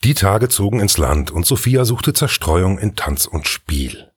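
Deep, husky male storyteller. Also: expressive, unhurried, dry recording, clean studio sound. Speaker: storyteller, narrator. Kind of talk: scripted fairy tale narration.

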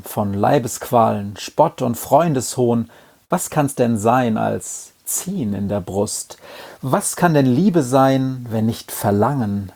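A man reciting poetry in a measured, declamatory way. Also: mid-range voice, creaky, darkish tone.

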